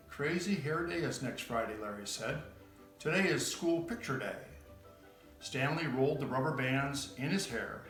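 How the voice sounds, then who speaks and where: A man reading a children's picture book aloud as a guest reader, in a steady storybook manner. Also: mid-range voice, reverberant, poor audio.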